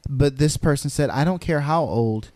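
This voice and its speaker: A man's voice: on the high side, normal speed, a little mellow, no room sound. A man co-hosting a podcast, telling stories casually and often repeating words as he goes. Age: adult. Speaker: podcast co-host. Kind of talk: casual conversational storytelling.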